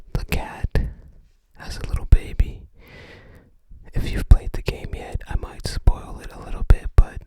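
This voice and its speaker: Very slow, hushed ASMR whisperer. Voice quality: soft breathy whisper. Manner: unhurried, musing aloud. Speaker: ASMR video creator. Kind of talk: ASMR show-and-tell of a book.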